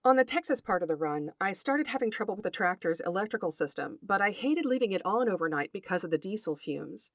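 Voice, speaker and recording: Middle-aged woman, medium pitch, scripted podcast narration, articulate deliberate storyteller, dry intimate recording. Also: lively intonation, dry recording. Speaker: podcast host, writer and folklorist.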